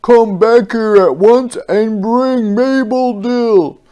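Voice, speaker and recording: Blustering general, storybook character, shouted commands, drawn-out words, raised pitch, acted by a narrator. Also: clean tone, lo-fi sound, close to an everyday voice. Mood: angry, commanding. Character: blustering red-faced army generals shouting through loudspeakers.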